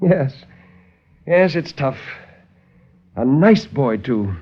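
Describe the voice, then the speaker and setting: Man playing a hearty, high-voiced military doctor. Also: very animated, very slow, heavily acted. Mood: sympathetic. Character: brisk, genial male military doctor, sympathetic colleague. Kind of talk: 1950s radio drama acting. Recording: muffled 1950s radio recording.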